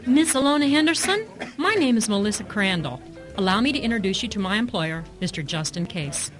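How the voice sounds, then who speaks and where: An actress playing a prim, dryly teasing detective's secretary in a radio drama. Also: female voice, mid-range voice, expressive, brisk, faint music behind, close to an everyday voice. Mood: composed. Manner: dry, teasing, proper.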